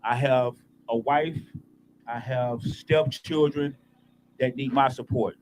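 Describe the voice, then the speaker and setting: A man's voice: medium pitch, fairly even intonation, slow pace, darkish tone. Middle-aged man outdoors, faint traffic noise. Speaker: bereaved father addressing the public. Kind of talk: plain-spoken public statement. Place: outdoors at a public gathering.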